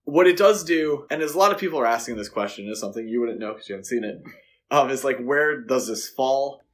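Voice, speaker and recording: Casual male podcast co-host, halting delivery. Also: medium pitch, animated, fairly bright tone. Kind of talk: casual film discussion.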